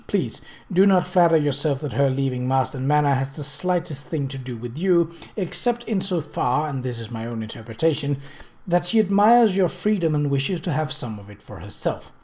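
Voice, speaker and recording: A reader voicing a composed, perceptive upper-class lady, measured, formal and gently admonishing. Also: medium pitch, big pitch swings, natural voice.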